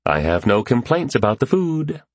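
Male reader as outspoken, wary older mom in audiobook; raised pitch, animated, hesitant. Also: normal speed, dry recording. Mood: wry, teasing.

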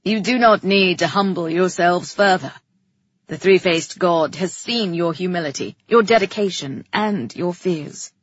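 Imperious storybook king, played by a woman, loud and oratorical, husky edge, slightly dull roomy sound. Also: fairly low pitch, measured pace, pitched below the performer's own voice. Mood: grand, stately. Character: commanding, grandiose king making stately proclamations. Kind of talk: audiobook character acting.

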